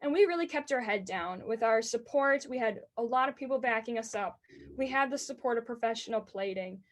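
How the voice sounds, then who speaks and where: An eighteen-year-old woman with a high-pitched voice, earnestly answering interview questions about racing announcing. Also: lively intonation. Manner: earnest and enthusiastic, quick bursts.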